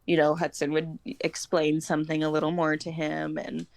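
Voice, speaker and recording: A woman's voice: sits low, monotone, normal speed, creaky, dry recording. A woman, chatting casually as a guest on a homeschooling podcast. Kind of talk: casual chat.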